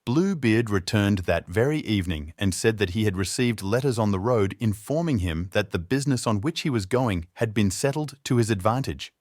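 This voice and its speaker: A man's voice: lowish voice, lively intonation, normal speed, hardly any pauses, a little mellow, no echo, studio quality. A man reading a scripted fairy tale as a storyteller.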